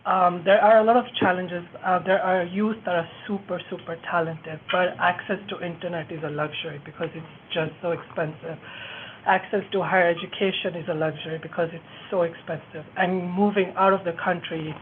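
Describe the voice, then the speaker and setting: A woman's voice: on the low side, fairly even intonation, brisk. A young woman making an impassioned plea and question as a participant at a roundtable. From Malawi. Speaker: youth participant asking a question. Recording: tinny phone-like audio, faint noise.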